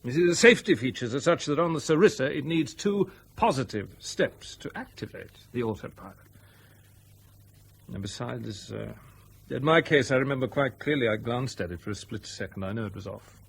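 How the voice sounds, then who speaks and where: An actor playing an earnest, stubborn airline captain with an intense, conversational manner in a radio drama. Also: male voice, medium pitch, lively intonation, normal speed, vocal fry, slight background noise. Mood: puzzled.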